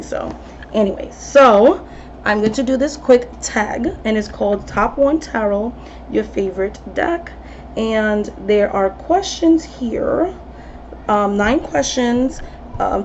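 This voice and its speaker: A woman's voice: medium pitch, measured pace, a little hiss or hum. A woman tarot reader in her thirties talking casually to camera, chatty, with many ums and restarts. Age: 30s. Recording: slightly dull recording with faint ambience.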